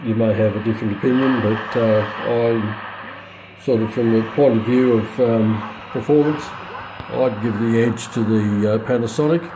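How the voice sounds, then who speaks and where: A middle-aged man giving an unscripted product demonstration in a halting, matter-of-fact way. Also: mid-range voice, reverberant, background noise. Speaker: presenter of a radio review. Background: shortwave radio broadcast playing.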